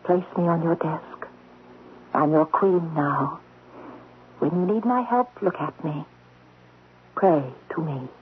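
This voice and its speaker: A woman's voice: low voice, slow pace, long pauses, slightly rough, heavily acted. An actress playing a commanding, mocking queen of thieves, a magical statue, in a radio mystery drama. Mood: imperious. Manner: commanding, taunting. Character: imperious, mocking statue of an Indian thief queen. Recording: muffled, noisy old radio broadcast.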